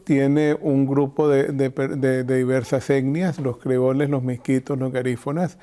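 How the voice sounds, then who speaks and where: An older Nicaraguan man speaks with a very mellow, relaxed tone at an average pitch. He explains things in a measured, reflective way, as a bishop being interviewed. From Chinandega, Nicaragua.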